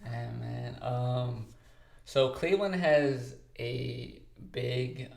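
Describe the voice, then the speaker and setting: Easygoing young man with gravelly voice. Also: mid-range voice, very slow, a little mellow, reverberant. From Texas, United States. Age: 20s. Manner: talkative, easygoing, many fillers. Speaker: podcast co-host and guest. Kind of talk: casual interview chat.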